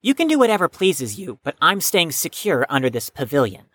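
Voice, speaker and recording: A woman voices a bookish, careful youngster in an audio drama. The voice is low yet expressive, with precise formal wording and dry wit, captured with no room sound. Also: fairly quick, no room sound, full character voice. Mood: wry, reluctant. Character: cautious, bookish, formal-spoken young student Grayson.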